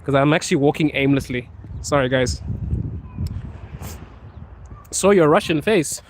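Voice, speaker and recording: A man from Zimbabwe chats casually with his live viewers while walking outside in the cold, reading their comments aloud. His voice sits a little high, and he keeps laughing and joking as he goes, recorded on a handheld phone. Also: a little slow, a little hiss or hum. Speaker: livestreamer. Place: outdoors in a cold city street.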